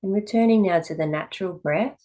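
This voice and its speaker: A woman teaching yoga, giving calm, measured guided meditation instruction in short phrases with pauses between them. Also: mid-range voice.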